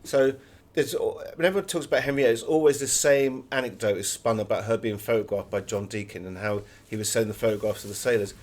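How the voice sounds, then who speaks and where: A man's voice: on the low side, fast, hardly any pauses. A British man speaking as an interviewed author, telling anecdotes in a wry, chatty and emphatic way.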